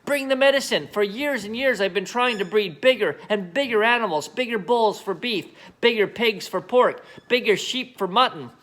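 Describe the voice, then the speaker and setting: A man voicing a small, excitable, easily worked-up father, exclaiming and shouting in a storybook reading. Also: sits high, normal speed, few pauses, voice pushed up. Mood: eager, bossy.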